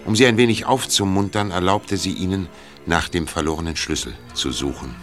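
A man's voice: low voice, fairly quick, a bit bright, faint music behind. A man reading scripted narration for a mystery radio play.

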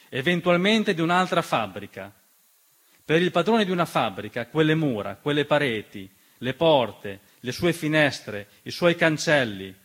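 A man performing a theatrical narrative monologue, measured and speaking directly to the listener with long pauses. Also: a little high, fairly bright tone, husky. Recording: piano music behind the voice. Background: piano accompaniment.

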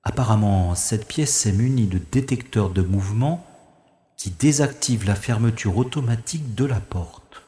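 A man's voice: fairly low pitch, moderate pace, mellow, close to an everyday voice. A man playing a wry, gallant adventurer in an audio drama, speaking formally and thinking aloud. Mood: wry, reassuring. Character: wry, gallant male adventurer rescuing a young woman. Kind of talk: French-language audio drama acting with first-person narration. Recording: echoey long reverb, music behind.

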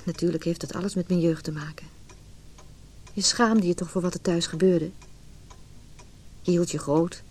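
A woman's voice: fairly low pitch, fairly even intonation, normal speed, long pauses, darkish tone, a little hiss or hum, lightly acted. An actress playing an insecure, brooding woman, giving a reflective, confiding inner monologue in a Dutch radio play.

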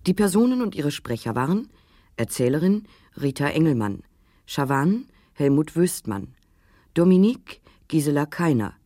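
A woman announcer with a low, lively-pitched voice reads scripted credits on the radio. The sound is close and dry. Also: normal speed, no echo.

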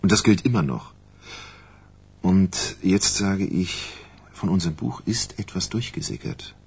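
An actor playing a blunt, wry, unruffled male writer in a naturalistic radio crime drama, with a husky voice. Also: low-pitched, a little slow, darkish tone, exaggerated voice. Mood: serious, insistent.

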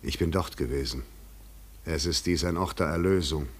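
Low voiced mysterious shipwrecked man, pushy but poetic, formal theatrical german radio play. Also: moderate pace, breathy edge. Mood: quiet, reverent. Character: shivering naked stranger from the sea, insistent, eloquent, poetic.

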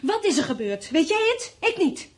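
A woman's voice: extremely high pitch, big pitch swings, normal speed. An actress playing a bitter, frightened woman survivor in a radio play, brooding and sarcastic, swinging between dreamy and cutting. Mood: bitter, accusing. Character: bitter, frightened, mocking woman surviving a catastrophe with her partner. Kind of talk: naturalistic radio play acting. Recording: radio play with background ambience.

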